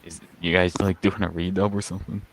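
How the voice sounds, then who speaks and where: A man's voice: deep voice, level tone, fast talker, slight background noise. A man chatting casually with friends during a game stream. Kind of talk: casual chat. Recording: loud background music over the voice.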